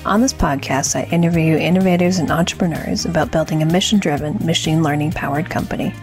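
A woman hosts a podcast in a low, gravelly voice. She reads her introduction from a script in a measured way and puts questions to her guest, with faint music behind her. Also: fairly even intonation. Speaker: podcast host.

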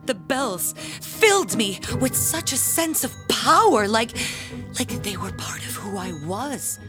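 Proud, dramatically expressive church bell ringer. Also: female voice, mid-range voice. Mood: proud, grandiose. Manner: theatrical, haughty, deliberate storytelling. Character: proud, aggrieved, self-important middle-aged female church bell ringer. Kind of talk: audio drama dialogue.

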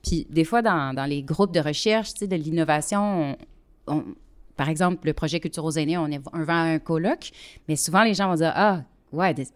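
A woman's voice: deep voice, brisk, smooth. A woman with a Québécois accent speaking hesitantly with many fillers about community development.

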